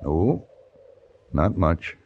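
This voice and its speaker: An actor playing a laconic, steady investigator in an old radio western, with an American accent and a terse, unruffled delivery. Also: male voice, low voice, drawn-out words, muffled recording, heavily acted. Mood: dry, firm. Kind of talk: old-time radio western acting and first-person narration.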